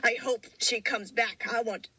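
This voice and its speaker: Girl reader doing a fierce robot warrior lady, angry and over the top, bright dry sound. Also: a little high, fairly even intonation, unhurried, husky, no room sound, exaggerated voice. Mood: combative. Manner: melodramatic, combative. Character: fierce, hot-tempered female shapeshifting robot heroine.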